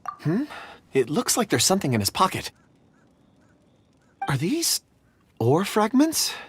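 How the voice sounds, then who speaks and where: A man playing a polite, earnest, dreamy young man in a video game, speaking gently and a little formally. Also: high-pitched, wildly swooping pitch, a little slow, slight background noise, exaggerated voice. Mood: pensive. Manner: gentle, courteous, slightly formal. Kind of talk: video game character dialogue.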